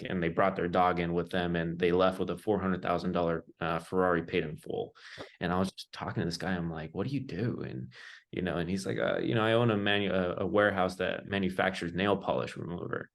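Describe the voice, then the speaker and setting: A young man with a low-pitched voice. He talks as an interview guest, telling stories in a loose, informal, conversational way. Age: young adult. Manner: informal, loose phrasing peppered with "like" and "you know". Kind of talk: casual conversational storytelling.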